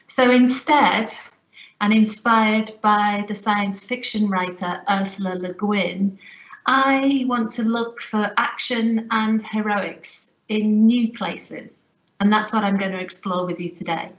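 A woman giving a recorded lecture, speaking in a measured, reflective way over a webcam. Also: mid-range voice. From Liverpool, England. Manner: measured, reflective, deliberate phrasing. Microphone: webcam on a video call. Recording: roomy, slightly dull webcam sound.

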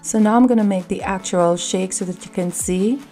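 A woman hosting a cooking video, giving calm step-by-step recipe instructions in voice-over. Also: medium pitch, normal speed, soft background music. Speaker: cooking channel host.

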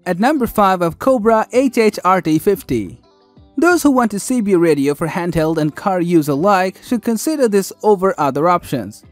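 A man with a fairly high, animated voice narrates a scripted product countdown. The sound is dry and close, with light music playing behind him. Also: dry recording.

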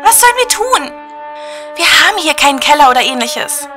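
A woman's voice: sits high, sing-song, brisk, music in the background. A woman playing a panicked radio co-host. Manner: anxious, pleading. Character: frightened young female radio co-host Terry.

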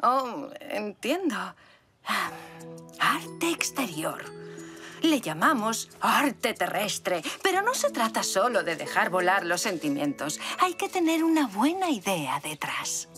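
A woman voicing a patient, gentle, encouraging zebra mother in a children's cartoon dub. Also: medium pitch, big pitch swings, slow, faint music behind. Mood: patient, instructive.

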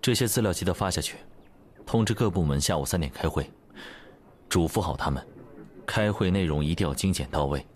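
An actor playing a composed, doting young male executive in an audio drama, speaking in a measured, unhurried way. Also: low-pitched, animated. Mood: brisk, authoritative.

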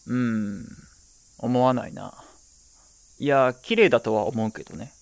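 A man's voice: medium pitch, unhurried, vocal fry, no echo. An actor playing a hesitant, self-conscious young man looking for nostalgia in his hometown, in a naturalistic radio drama. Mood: wistful, flat. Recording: night insect ambience behind voice.

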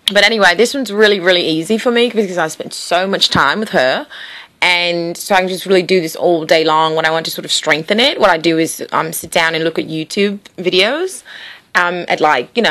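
A woman's voice: on the low side, sharp tone. A woman in her late thirties from the American South, chatting casually and rambling with many fillers as she shows off accents. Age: 30s. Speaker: comedian and mimic. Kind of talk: casual showcase of accent impressions.

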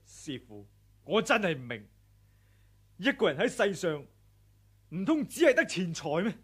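A husky, wronged young disciple. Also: male voice, sits high, very animated, a little slow, full character voice. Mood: pleading. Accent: Hong Kong Cantonese. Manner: pleading, reproachful. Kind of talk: 1980s radio drama acting.

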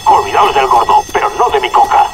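A man's voice: mid-range voice, a little fast, close to an everyday voice. A man playing a blunt, self-interested gun shop owner in a video game. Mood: bossy, impatient. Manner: blunt, demanding, deadpan. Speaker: video game non-player character. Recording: very noisy, radio-like game audio.

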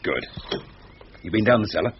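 An actor playing an impatient, sceptical husband in a radio thriller, curt and sarcastic. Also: male voice, fairly low pitch, lively intonation, moderate pace, lightly acted. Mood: brusque. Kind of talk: thriller radio drama acting. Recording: dull, noisy radio drama recording.

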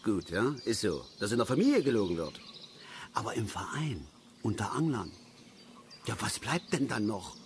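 A man's voice: on the low side, big pitch swings, moderate pace, background noise. An actor playing an embittered, touchy middle-aged male angler who rambles and grumbles irritably in a radio play. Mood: aggrieved. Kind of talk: German radio play acting.

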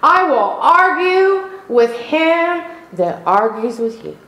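A middle-aged woman pastor delivering a sermon in slow, emphatic preaching style, calling out for amens. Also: high-pitched, sing-song, fairly bright tone. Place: church sanctuary. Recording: echoey room.

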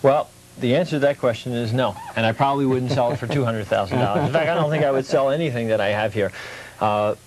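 Male interview guest, middle-aged. Average pitch, casual, earnest, feels his way through explanations as a collector. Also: fast, a little hiss or hum, low-quality recording.

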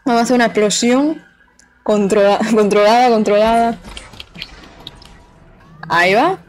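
A woman's voice: on the high side, unhurried, low-quality recording. Chatty woman streaming a game. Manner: chatty, playful, talks to chat and the game. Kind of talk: casual live gameplay commentary.

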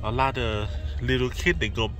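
A man's voice: medium pitch, normal speed. A man chatting casually in a moving car, often repeating himself and asking questions. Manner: repetitive, questioning. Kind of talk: casual chat. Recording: music over in-car sound. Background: music, car and road sound.

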